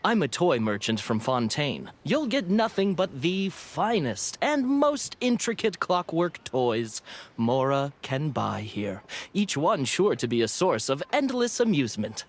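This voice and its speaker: An actor voicing a courteous male toy merchant in a video game, with a polite, flowery sales pitch. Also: sing-song, unhurried, a little hiss or hum. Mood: courteous, boastful. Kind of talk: scripted video game character dialogue.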